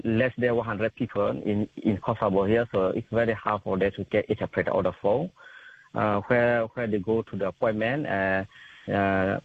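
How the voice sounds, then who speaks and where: A young man from Thailand, a community interpreter, speaking halting non-native English with many fillers and restarts. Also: on the low side. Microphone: telephone. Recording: tinny phone line.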